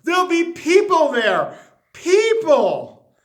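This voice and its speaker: A man's voice: extremely high pitch, wildly swooping pitch, takes it slowly, pitched above the performer's own voice, exaggerated voice. A man voicing a painfully shy, anxious little lady from a children's storybook, in a fretful, hesitant manner. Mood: panicked.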